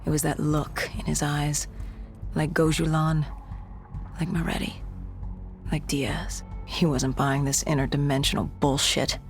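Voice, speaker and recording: A woman's voice: sits low, flat delivery, unhurried. An actress playing a weary, determined female soldier, solemnly recounting a zombie war in clipped, dramatic narration. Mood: brooding. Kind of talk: dramatic first-person narration. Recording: music under the voice. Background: dramatic game soundtrack.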